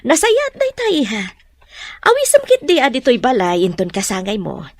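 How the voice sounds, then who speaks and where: A woman's voice: a little high, wildly swooping pitch, normal speed, dry recording, full character voice. A woman playing an effusive, approving mother in an audio drama, gushing and encouraging with an Ilocano accent. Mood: delighted.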